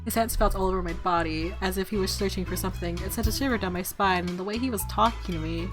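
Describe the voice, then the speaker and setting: A woman streamer with a mid-pitched voice plays through a story game. She is giggly and reactive, switching between chatty commentary and dramatic reading. Game music plays softly underneath. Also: fast talker. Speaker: game streamer, let's player. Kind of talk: gameplay commentary with dramatic read-aloud of visual novel text.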